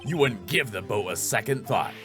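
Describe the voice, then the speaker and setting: A man performs a young defense lawyer from a video game. He is earnest and excitable, driving his points home with big pitch swings over background music. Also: normal speed, lightly acted. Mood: accusing. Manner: emphatic, accusing, inner musing.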